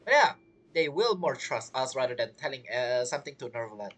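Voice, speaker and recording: A man's voice: medium pitch, big pitch swings, a little fast, fairly bright tone. A man giving chatty, casual playthrough commentary, reacting to the game and reading its text aloud. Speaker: game streamer.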